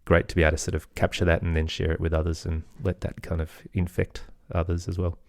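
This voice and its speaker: A man's voice: low-pitched, monotone, quick pace, creaky, dry recording, studio quality. A middle-aged man talking casually as an interview guest, in a hesitant, self-effacing, rambling way. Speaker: podcast guest, audio storyteller and producer.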